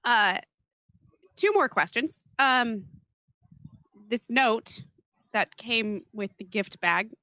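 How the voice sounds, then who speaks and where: A woman's voice: fairly high pitch, slow pace, long pauses, bright, forceful tone, dry recording. A woman playing a tabletop role-playing game, asking questions in a casual, conversational way.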